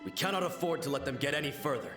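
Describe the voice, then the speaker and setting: A man's voice: fairly high pitch, expressive, rapid-fire, lightly acted. A man playing a staunch, loyal samurai soldier in an anime game, formal and earnest. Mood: resolute, loyal. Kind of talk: dramatic anime game voice acting. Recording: echoing reverb with game music.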